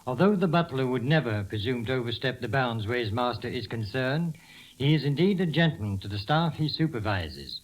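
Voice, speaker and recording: A man's voice: medium pitch, animated, fairly quick, low-quality recording. A man speaking as a butler guest in a scripted 1930s radio talk, formal and deferential, on an old hissy recording.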